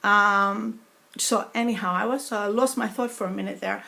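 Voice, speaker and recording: A middle-aged woman whose speech disorder gives her a foreign-sounding accent, talking slowly and haltingly with many pauses and fillers. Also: medium pitch, fairly even intonation, creaky, bright, forceful tone. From New York. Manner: slow halting speech, many fillers, dropped in-between words. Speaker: vlogger sharing her experience. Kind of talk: personal talk to camera.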